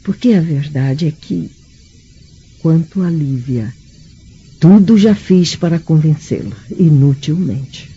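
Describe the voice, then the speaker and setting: Stern snooty roman matron in a radio play, brazilian accent, husky mellow voice, muffled sound. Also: female voice, low voice, soft background music, heavily acted. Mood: grave, resolved. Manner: formal, theatrical, measured, disapproving. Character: stern, scornful Roman noblewoman, mature, haughty traditionalist. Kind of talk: radio drama acting. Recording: dull, muffled radio drama recording.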